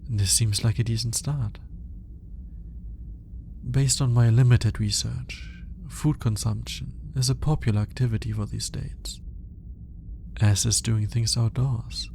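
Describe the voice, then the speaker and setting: A man playing an alien scientist in an ASMR roleplay, formal and curious, with clinical wording and hesitant, flustered pauses as he awkwardly courts the listener. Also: medium pitch, fairly even intonation, vocal fry, a little mellow, slight background noise. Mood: awkward, shyly romantic. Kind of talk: ASMR roleplay audio drama, speaking to the listener.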